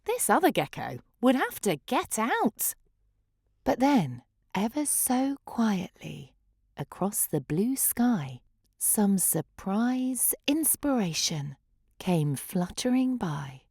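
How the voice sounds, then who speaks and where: A woman reading a rhyming picture book aloud with expressive, theatrical rhythm. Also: mid-range voice, slow, slightly rough, no room sound, clean studio sound. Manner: theatrical, rhythmic delivery of rhyme. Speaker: children's story reader.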